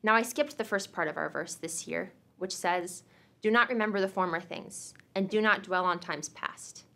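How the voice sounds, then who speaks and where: Young adult woman, student commencement speaker, earnest and reflective, mid-pitched voice. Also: moderate pace, gravelly, pushed and bright. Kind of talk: prepared graduation speech.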